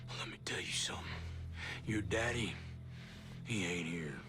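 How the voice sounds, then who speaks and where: An actor playing a ruthless, scornful military commander in a video game cutscene, cursing with open contempt. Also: male voice, deep voice, moderate pace, natural voice. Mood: scornful rage. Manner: profane, scornful, menacing. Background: music.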